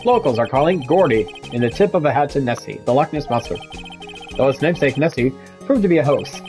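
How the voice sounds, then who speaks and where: A man's voice: mid-range voice, expressive, fast, darkish tone, faint music behind, close to an everyday voice. A man voicing an earnest male television newscaster reading a bulletin in a video game. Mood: brisk, serious. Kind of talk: scripted news bulletin parody.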